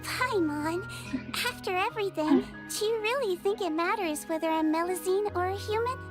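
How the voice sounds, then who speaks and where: A woman voicing a gentle, earnest, childlike little female creature in an anime video game, speaking politely and sincerely. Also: extremely high pitch, moderate pace, over loud music. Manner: soft-hearted, polite, sincere.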